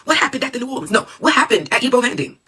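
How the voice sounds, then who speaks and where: A woman, a doctoral student, telling a story in an animated monologue that swings from calm to exclaiming. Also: fairly high pitch, very fast, husky. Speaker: doctoral student and class participant.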